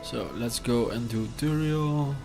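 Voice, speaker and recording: A man's voice: mid-range voice, slow, vocal fry. A man in his thirties with a Dutch accent, chatting casually with his live-stream chat while playing a game. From Netherlands. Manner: chatty, relaxed, answers chat between game moves. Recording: game music under the voice. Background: game music.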